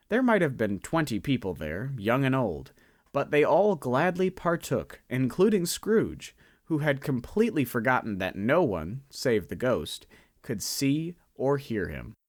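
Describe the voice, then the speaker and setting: A man narrating a radio drama in an expressive storytelling manner. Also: medium pitch, a little slow, no room sound, clean studio sound. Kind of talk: scripted narration.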